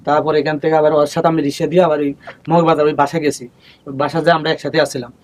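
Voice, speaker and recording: A middle-aged man speaks fast in a slightly high voice. As a guest, he recollects in loose colloquial phrases, often starting a sentence over. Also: little pitch movement. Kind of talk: unscripted recollection.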